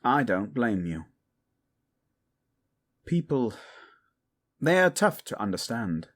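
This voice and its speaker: A man's voice: medium pitch, big pitch swings, slow, a bit bright, exaggerated voice. A man playing a protective exiled prince standing in as king, firm, formal and weary, in a fantasy audio drama. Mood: stern, protective. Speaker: voice actor.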